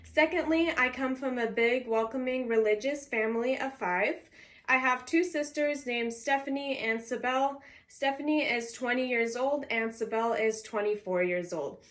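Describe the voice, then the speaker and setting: A woman's voice: a little high, moderate pace, fairly bright tone. A woman of twenty-one, raised in California with Arabic as her first language, reading a prepared introduction speech for a college class in a structured, signposted way. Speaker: college student.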